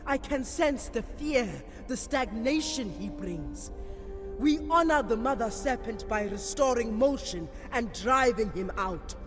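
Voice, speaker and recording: Proud champion priestess: measured, commanding delivery, slow pace, game cutscene over loud music. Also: female voice, fairly high pitch, expressive. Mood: solemn, commanding. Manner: measured, declamatory. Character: bold, commanding warrior priestess, proud champion of her people. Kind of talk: video game cutscene acting.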